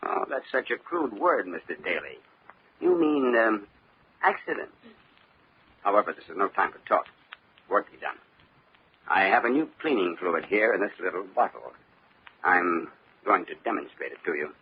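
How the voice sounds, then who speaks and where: A man's voice: low voice, big pitch swings. A man playing a coldly polite, menacing killer in an old-time radio crime drama. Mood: smug menace. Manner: mock-polite, menacing, drawn-out. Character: smug, coldly polite killer turning to murderous rage. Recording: dull lo-fi old radio, noisy.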